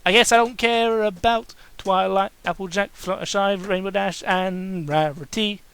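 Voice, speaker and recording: A man's voice: sits high, fairly even intonation, unhurried, fairly bright tone, clean tone. A man doing a dramatic reading of fan fiction, breaking off into sarcastic, sweary asides.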